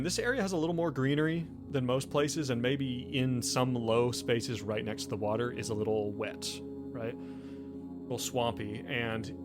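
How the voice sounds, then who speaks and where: A man narrating a tabletop game as game master, improvising and searching aloud for words. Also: mid-range voice. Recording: music behind the voice. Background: background music.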